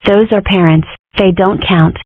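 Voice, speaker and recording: A woman's voice: mid-range voice, steady tone, measured pace, dry recording, close to an everyday voice. A woman voicing a sassy, scheming young fox girl in a fan comic dub. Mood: flippant. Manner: flippant, scheming. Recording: tinny, dry phone-like audio.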